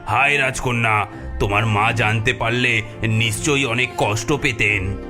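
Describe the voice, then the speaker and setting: A man's voice: medium pitch, steady tone, unhurried, lightly acted. Man voicing a mournful talking horse's head, music behind. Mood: sorrowful. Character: severed head of a loyal horse, sorrowful. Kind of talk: cartoon fairy-tale voice acting.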